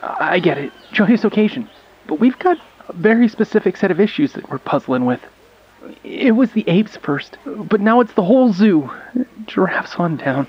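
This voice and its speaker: An actor playing a harried, informal zoo worker, husky and exasperated, in a news interview. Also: male voice, high-pitched, very animated, full character voice. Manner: fast-talking, exasperated, informal. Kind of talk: news interview clip in audio drama. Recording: muffled news field interview.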